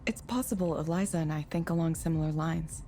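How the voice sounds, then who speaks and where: A woman's voice: low voice, fairly quick, warm tone, a little hiss or hum. An actress playing a reserved, thoughtful woman engineer in a visual novel, with an understated, hesitant delivery. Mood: reserved, doubtful.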